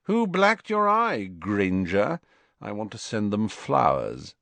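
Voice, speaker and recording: A man puts on a spoiled, arrogant teenage boy who sneers and drips contempt. It is read slowly with lively pitch in a dry booth. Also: gravelly, no room sound, exaggerated voice. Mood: sneering.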